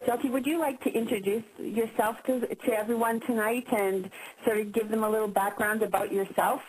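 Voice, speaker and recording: A woman's voice: on the high side, fairly even intonation, fairly quick, slightly rough, low-quality recording. A woman speaking as guest speaker on a business call, effusive and full of praise. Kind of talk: warm introduction speech.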